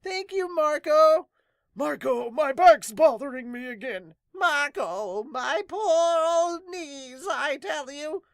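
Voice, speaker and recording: A man voicing excitable, complaining villagers in a fan manga dub, high and strained with drawn-out words. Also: no room sound, heavily acted. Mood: excited, pleading. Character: excitable villagers, old and young, pleading and complaining.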